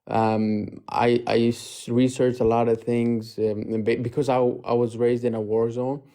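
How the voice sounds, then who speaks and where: A young man in his twenties, from Afghanistan, speaks as a guest on a casual livestream. He talks at an ordinary pitch in halting, thoughtful bursts, full of fillers and restarts. His camera is off and only his voice comes through. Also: flat delivery, dark tone. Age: 20s. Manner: hesitant, many fillers and restarts. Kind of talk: casual chat. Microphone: audio only, camera off.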